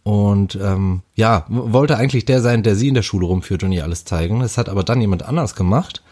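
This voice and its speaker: A man's voice: lowish voice, a little fast, breathy edge. A man, casually telling the story of how he met his partner. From Hamburg, Germany. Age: 30s. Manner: relaxed, rambling with fillers and restarts. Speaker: interviewee.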